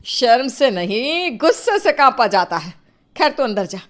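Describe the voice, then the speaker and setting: A scolding older mother-in-law played by a woman, high in pitch. She nags and bosses her household with sarcastic exasperation, in the manner of an audio family storybook. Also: very animated, a little slow, a bit bright. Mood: exasperated. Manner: bossy, nagging, sarcastic.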